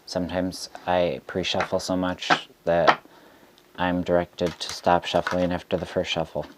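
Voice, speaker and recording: A man who reads tarot, speaking straight to camera in a frustrated rant, deliberate and halting with frequent ums. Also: low voice, level tone, very noisy. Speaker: tarot reader.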